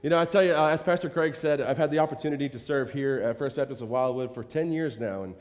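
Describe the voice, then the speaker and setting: A man's voice: mid-range voice, fast talker. A man preaching to his church while nursing a cold, speaking in a loose, rambling way full of fillers. His voice sits in the middle range for a man. It rings out with the long tail of a large sanctuary. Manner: warm rambling talk with many fillers and repeated "I tell you". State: has a cold, occasional cough. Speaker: pastor. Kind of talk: informal church address. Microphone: handheld or stage microphone. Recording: reverberant church hall.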